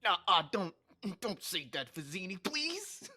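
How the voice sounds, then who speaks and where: A woman voicing a gentle, simple, anxious male giant henchman in a live script read. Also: on the low side, very animated, unhurried, exaggerated voice. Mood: pleading.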